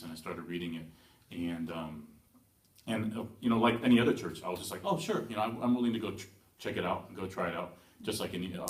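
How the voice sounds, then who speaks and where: A young man born in Taiwan giving a hesitant personal testimony at church, with many pauses and restarts. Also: deep voice. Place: church hall. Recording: echoey room from far off.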